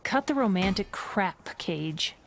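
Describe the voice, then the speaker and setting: A hardened female fighter from a video game. Her medium-pitched voice is curt and scornful, brushing people off with sarcasm. A faint noise sits underneath. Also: animated, slow. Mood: scornful, irritated. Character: tough, no-nonsense, scornful female fighter, adult. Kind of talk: video game character dialogue.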